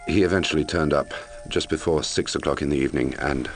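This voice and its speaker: Very deep, gravelly brooding investigator. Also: male voice, quick pace. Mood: grave, recounting. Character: male investigative journalist narrating his grim logbook. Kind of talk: scripted first-person narration for a mystery game. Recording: music under the voice. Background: music.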